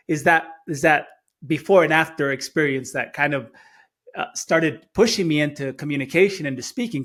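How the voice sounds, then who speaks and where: A man's voice: a little high, fairly bright tone. A middle-aged man from Chile whose first language is Spanish, telling a personal story earnestly as a podcast guest, often repeating words as he starts phrases. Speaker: guest speaker. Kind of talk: storytelling in an interview.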